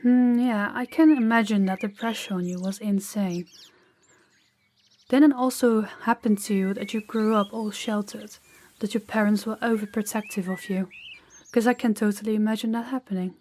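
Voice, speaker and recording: A woman playing a proud, guarded young princess in an intimate audio roleplay, speaking in a measured, formal, slightly awkward way to the listener. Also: medium pitch, steady tone, a little mellow, natural voice. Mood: sympathetic, candid.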